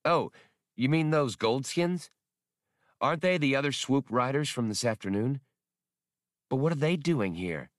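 A man's voice: mid-range voice, lively intonation, a little slow, pauses often, no room sound, clean studio sound, pitched above the performer's own voice, close to an everyday voice. A man voicing an earnest, worried professional woman in an audiobook, speaking in a proper, questioning way. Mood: puzzled. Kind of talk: audiobook character dialogue.

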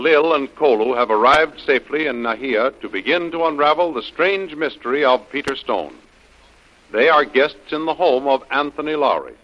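A man announcing and narrating an old-time radio serial in a formal, declamatory style, on a lo-fi vintage recording. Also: mid-range voice.

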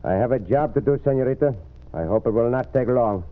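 A man's voice: mid-range voice, brisk, natural voice. A man playing an earnest, heroic gunfighter in a radio western, speaking formal, careful English with a Mexican accent. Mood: grimly resolved. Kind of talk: old-time western radio acting. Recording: noisy, narrow-band vintage radio recording.

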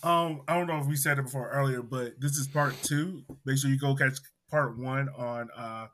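Bright-voiced easygoing podcast host. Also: male voice, medium pitch, fairly even intonation, normal speed, a little hiss or hum. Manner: easygoing, laid-back host who throws out questions. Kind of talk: casual podcast chat.

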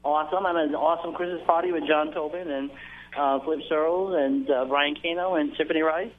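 A man's voice: on the high side, fairly even intonation, fairly quick. A man calling into a radio show from a holiday party, cheerful and friendly. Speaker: phone-in guest. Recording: tinny phone line.

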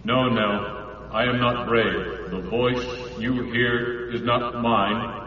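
An actor playing a stranded, emotionless alien who speaks through a translating machine in formal, measured radio drama style. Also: male voice, mid-range voice, a crowd in the background, close to an everyday voice. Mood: flat, solemn. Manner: measured, formal, flat and unemotional. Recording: muffled, echoey, distant, hissy radio drama.

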